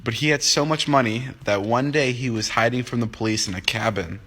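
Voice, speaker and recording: A man's voice: mid-range voice, a little fast, pushed and bright. A young man in his twenties, just woken up and groggy, chatting casually on a phone livestream from home, rambling and joking as he answers comments. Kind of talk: casual livestream chat to viewers. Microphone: phone livestream app.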